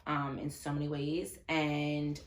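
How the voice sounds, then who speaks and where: A woman's voice: sits low, completely flat delivery, slow, reverberant. A woman hosting an interview in an upbeat, chatty way. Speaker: interview host. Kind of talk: casual interview.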